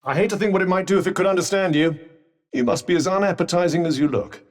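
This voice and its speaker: Male actor as talking magic cuff, British accent, high voice, sardonic, eloquent, urgent battle coaching, video game companion, slightly distant sound. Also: fast talker, lightly acted. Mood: dry, sardonic.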